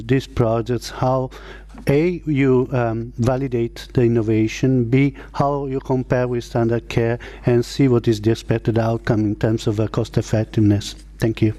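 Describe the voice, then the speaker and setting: A man with a soft, mellow voice asks a seminar panel a question. He lays it out methodically in numbered parts, pausing now and then. The sound is dry and close. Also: medium pitch, no echo. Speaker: audience member asking a question.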